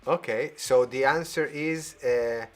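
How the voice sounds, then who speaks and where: A man hosting a live variety show over a video call, playful and animated, laughing as he talks. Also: mid-range voice, slow, soft background music. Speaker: host.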